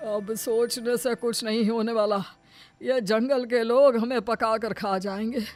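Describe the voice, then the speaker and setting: A woman's voice: fairly high pitch, steady tone, a little slow, a little mellow, soft background music, voice pushed up, close to an everyday voice. A woman voicing a grumbling, exclaiming older mother-in-law in a cartoon story, scolding and easily alarmed. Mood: panicked, bitter. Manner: exclaiming, complaining.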